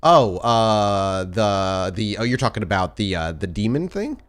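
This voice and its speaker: A man's voice: fairly low pitch, animated, measured pace. A man working as game master, improvising narration and talking rules in a tabletop roleplaying game.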